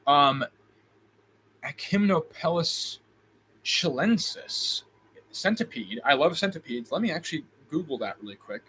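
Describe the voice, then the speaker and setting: A man's voice: on the high side, very animated, measured pace, bright, forceful tone, slight background noise, low-quality recording. A man from Illinois with a slight Midwestern accent, hosting a live Q&A. He reads viewer questions aloud and answers off the cuff, with plenty of ums and restarts. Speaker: host. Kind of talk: live stream Q&A.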